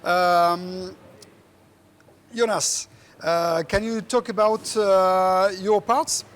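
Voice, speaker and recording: A man's voice: high voice, fairly even intonation, very slow, a bit bright, clean tone. A man presenting a live event off the cuff and asking questions.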